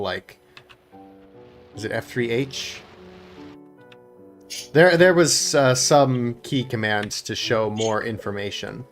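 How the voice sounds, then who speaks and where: Relaxed man explaining game controls. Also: mid-range voice. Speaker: channel host, gamer. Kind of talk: casual chat while playing.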